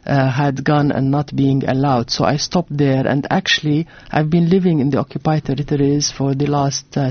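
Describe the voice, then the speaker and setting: A woman's voice: low voice, no room sound, lo-fi sound. A woman from Jordan, an author telling her family story in a radio interview, earnest and full of fillers and restarts. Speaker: author on a book tour. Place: radio studio.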